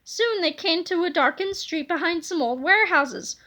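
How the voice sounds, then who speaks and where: A woman reads a children's book aloud in a very high, bright voice. Her narration is expressive and meant for young listeners. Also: normal speed. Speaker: storybook reader.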